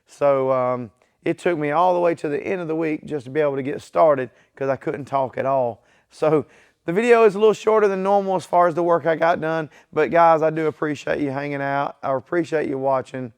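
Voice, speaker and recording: Man, weak voice from illness, smooth tone, casual workshop vlog, easygoing. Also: a little high, brisk. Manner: plain, easygoing, talks straight to viewers. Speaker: car builder hosting his own garage channel. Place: home garage workshop.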